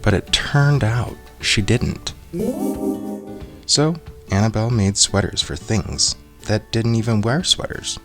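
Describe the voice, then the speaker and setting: Low voiced guy doing an expressive kids story read-aloud, faint music behind. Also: slow pace. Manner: expressive, acts out character voices. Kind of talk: children's picture book read-aloud.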